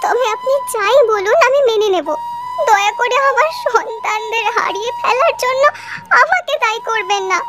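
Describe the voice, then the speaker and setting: A woman's voice: very high-pitched, lively intonation, normal speed. A woman voicing a meek, anguished cartoon mother who pleads deferentially with her harsh husband over background music. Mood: tearful pleading. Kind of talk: cartoon melodrama dialogue.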